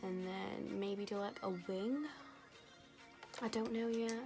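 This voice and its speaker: A young woman, rambling casually through a makeup tutorial, her voice going hoarse. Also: medium pitch, fairly even intonation, slow. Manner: chatty, rambling, lots of fillers. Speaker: beauty vlogger. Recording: music playing behind.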